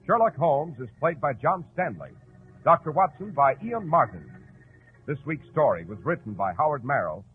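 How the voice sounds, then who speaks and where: A man's voice: fairly high pitch, big pitch swings, normal speed. A man announcing a radio program in formal, scripted 1940s style with polished projection. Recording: tinny 1940s radio broadcast, music behind. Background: music.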